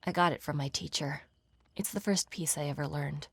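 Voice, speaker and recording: A blunt, guarded young pianist from a mystery game. Her voice sits very low and crackles with fry, slightly husky. She speaks in a deadpan, matter-of-fact way. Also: normal speed, no echo, exaggerated voice. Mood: wistful, flat. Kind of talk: video game voice acting. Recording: music behind the voice.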